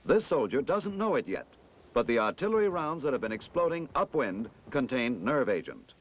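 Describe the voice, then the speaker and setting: A man narrating a scripted 1960s military instructional film in a formal, emphatic, measured manner. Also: medium pitch, big pitch swings, slight background noise.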